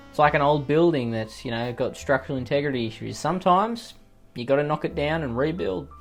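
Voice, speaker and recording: A man with an Australian accent reading out online posts and riffing on them with profane, sarcastic, rambling comedic asides. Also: medium pitch. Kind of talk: comedic commentary on read-out posts.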